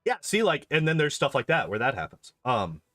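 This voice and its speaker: A man's voice: medium pitch, wildly swooping pitch, brisk, fairly bright tone, dry recording, clean studio sound, faint music behind. A man chatting casually as a streamer, rambling and repeating phrases emphatically to make his points. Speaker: game streamer and video host. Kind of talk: casual rambling livestream chat.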